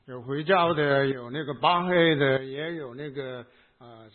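A man with a Hong Kong accent preaching a sermon in short phrases, pausing between them for an interpreter. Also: mid-range voice. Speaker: pastor. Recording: tinny phone-like sound.

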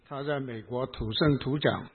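A man with a Hong Kong accent preaching a sermon in short phrases, pausing between them for an interpreter. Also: mid-range voice, slightly rough. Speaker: pastor. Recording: tinny phone-like sound.

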